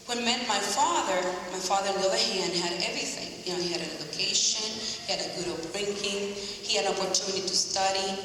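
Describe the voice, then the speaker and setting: A woman's voice: mid-range voice, pushed and bright. A woman in her forties from Rico, giving an earnest motivational speech to students and quizzing the audience. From Puerto Rico. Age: 40s. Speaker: guest speaker. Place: large school hall. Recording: echoey hall from far off, faint hiss.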